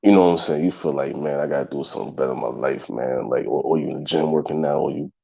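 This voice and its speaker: A man's voice: rumbling low, fast. A man in his thirties hosting a casual solo talk show, congested, with a loose, repetitive way of talking straight to his listeners. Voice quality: gravelly and husky. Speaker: podcast host. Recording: dull lo-fi sound.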